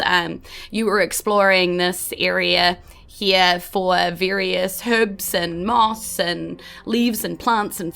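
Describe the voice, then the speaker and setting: Bright-voiced bubbly New Zealand woman hosting. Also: mid-range voice, vocal fry. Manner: bubbly, welcoming. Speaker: dungeon master and host. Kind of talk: chatty podcast hosting of a tabletop game.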